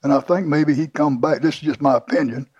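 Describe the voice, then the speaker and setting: A man's voice: a little high, a little fast, darkish tone, husky, no echo, lo-fi sound. An older man telling his life story in an interview, plainly and with many restarts. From Houston, Texas. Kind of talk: oral history interview.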